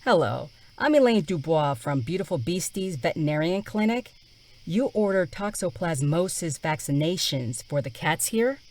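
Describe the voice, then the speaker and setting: A woman playing a brisk, cheerfully insistent veterinarian bluffing her way along in an audio drama. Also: lowish voice, expressive, darkish tone, a little hiss or hum, lightly acted.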